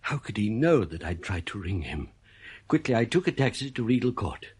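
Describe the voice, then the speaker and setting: Troubled man recounting an eerie tale, animated. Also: lowish voice, normal speed, breathy edge, full character voice. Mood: troubled, confiding. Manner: troubled, confiding first-person storytelling. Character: uneasy, suspicious male composer telling his own eerie story. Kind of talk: radio play narration and dialogue.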